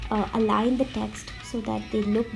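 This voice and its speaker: A woman with a slightly sick-sounding voice, explaining a drawing tutorial step by step in a friendly, relaxed way. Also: medium pitch, normal speed, vocal fry. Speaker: art tutorial host. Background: faint background music.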